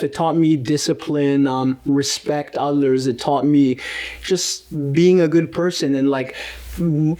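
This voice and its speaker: A young man with a fairly high voice talks loosely in a relaxed interview. He wanders through long sentences and leans on fillers as he goes. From Uganda. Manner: rambling, with frequent fillers. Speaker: guest fighter and coach. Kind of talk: casual conversational interview.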